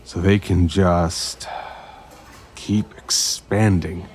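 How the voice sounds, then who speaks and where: A grim investigator in an audio drama, with a very low voice and slow, deliberate delivery. He is terse and protective. Some noise sits behind him. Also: steady tone, a little mellow. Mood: grim, probing.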